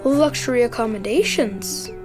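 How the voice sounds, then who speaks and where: A woman's voice: fairly high pitch, moderate pace, over music, natural voice. A woman voicing a brainy kid in an audio drama who speaks in short, formal phrases. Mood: flat, curious.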